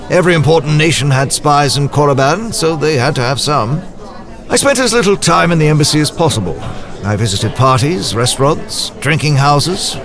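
A man's voice: mid-range voice, a bit bright. A man playing a worldly former embassy spy, telling his story in a wry, confiding, conversational way for an audio drama. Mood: wry, amused. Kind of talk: first-person audio drama narration.

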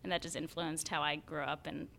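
A young American woman, an actor and singer, chatting as a podcast guest with lots of fillers and animated quotes. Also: low-pitched, a little fast, creaky, slight background noise. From United States. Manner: chatty, frequent "like" and "you know" fillers, animated quoting of others. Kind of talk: casual conversational interview.

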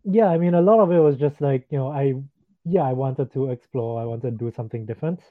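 A man's voice: mid-range voice, fast talker, dry recording. Young man, from Singapore, casual filler-heavy talk, tinny phone-like line. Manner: casual, many fillers like "like" and "you know", easy laughs. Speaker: guest, digital freelancer. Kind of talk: casual interview chat.

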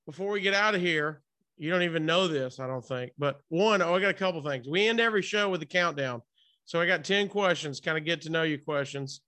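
A man's voice: on the high side, sharp tone. A man hosting a casual interview, chatting in an informal, rambling, buddy-like way. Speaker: interview host.

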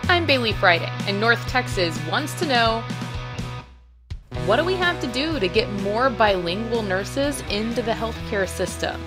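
A woman radio news host reading scripted narration in a measured, clear reporting delivery. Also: a little high, animated. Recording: music bed under narration.

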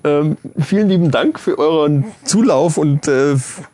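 A man's voice: a little high, a little slow, warm tone, no room sound. A man with a cold co-hosting a casual podcast, joking and laughing often between lines. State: has a cold, coughing. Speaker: podcast co-host. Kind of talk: casual chat. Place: small room, seated across a table. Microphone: handheld audio recorder on a table.

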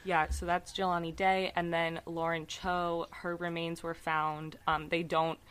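A woman co-hosting a casual true crime podcast, her voice a little raspy, chatty and earnest with lots of fillers. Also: fairly low pitch, level tone, unhurried, a bit bright. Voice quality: slightly raspy from screaming. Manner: chatty, many fillers, earnest about cases. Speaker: podcast co-host.

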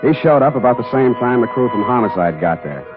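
A man's voice: medium pitch, animated, fast, natural voice. A man acting a cool, wry private detective in a 1940s radio drama, clipped, matter-of-fact and understated. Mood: wry, grim. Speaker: lead actor and narrator of a detective radio drama. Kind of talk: 1940s radio acting, hard-boiled narration. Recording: muffled narrow-band old radio recording, music.

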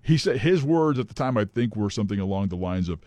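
A man plays a middle-aged radio co-host with a somewhat low but lively, swinging voice. He rambles through stories and teases the host. The studio sound is dry. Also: fast talker, no room sound. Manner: rambling storyteller, teasing. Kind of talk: casual radio banter.

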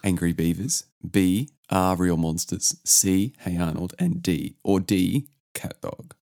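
A man hosting a casual music podcast who is sick with the flu, which has lowered his voice. He talks in a laid-back, rambling way with hesitations. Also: slow pace, mellow, dry recording, studio quality. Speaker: podcast host.

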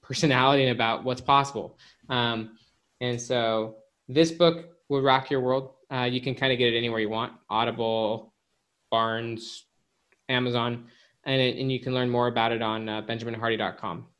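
A man's voice: medium pitch, steady tone, normal speed, a bit bright. A man speaking as an expert guest on a casual podcast, explaining things directly to the listener. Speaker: guest, author and organizational psychologist.

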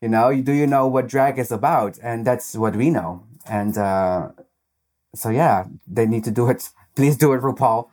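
A man's voice: medium pitch, moderate pace, darkish tone. A man with a Dutch accent, talking casually as an interview guest about drag and filling his sentences with "you know". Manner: chatty, frequent "you know" fillers.

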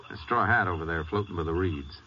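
An actor playing a calm, methodical Texas Ranger in a radio western, with an American accent and a measured, laconic, courteous manner. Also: male voice, low voice, lightly acted. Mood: intent. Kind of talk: 1950s radio western acting. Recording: muffled, noisy old radio broadcast.

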